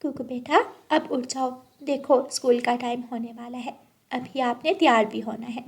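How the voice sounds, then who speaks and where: A woman voicing a gentle, patient mother bird in a cartoon, coaxing in a motherly way. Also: a little high, steady tone, normal speed, husky.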